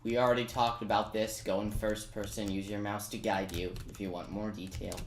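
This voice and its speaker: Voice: deep voice, level tone, fairly quick. A person giving a casual step-by-step video game guide, talking directly to viewers with chatty asides. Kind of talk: casual step-by-step gameplay commentary. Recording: roomy echo, noisy, keyboard clicks.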